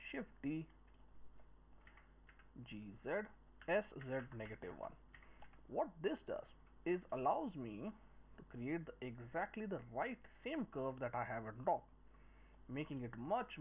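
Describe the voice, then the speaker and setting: Male software tutor, mid-pitched and animated in intonation, talking through steps in short phrases. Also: a little hiss or hum. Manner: speaks in short phrases while working. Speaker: 3D modelling tutor. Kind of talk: step-by-step screen-recorded software tutorial, thinking aloud.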